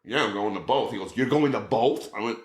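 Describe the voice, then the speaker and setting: A middle-aged man telling a story in casual chat, acting out quotes with a raised voice. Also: fairly quick. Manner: animated, acts out quotes with raised pitch. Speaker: podcast guest. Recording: roomy echo.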